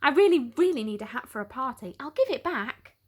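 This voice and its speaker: A woman voicing an eager, easily exasperated party guest in a storybook, insistent and expressive. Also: high-pitched, a little fast, slightly rough, full character voice. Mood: pleading. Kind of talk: storybook read-aloud character.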